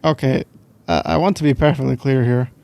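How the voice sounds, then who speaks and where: A man's voice: medium pitch, steady tone, fairly quick, vocal fry, darkish tone, no room sound. A young Scottish man doing casual boyfriend roleplay ASMR in a playful, teasing, rambling way, close to the microphone. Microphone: close microphone, dry sound.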